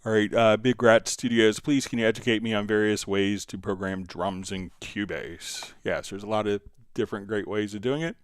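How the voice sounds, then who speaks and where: A man with a slightly low, smooth voice hosts a casual software tutorial. He explains each step in an easygoing way. The sound is dry and close, with some music behind him. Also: fairly even intonation, moderate pace, few pauses, no room sound. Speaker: live stream host and music software instructor. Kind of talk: casual software tutorial, answering viewer questions.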